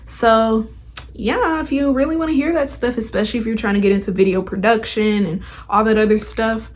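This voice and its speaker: A woman's voice: fairly high pitch, fairly even intonation, brisk. A young adult woman hosting a podcast, talking in a casual, motivational way with chatty, informal, slangy phrasing. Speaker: podcast host.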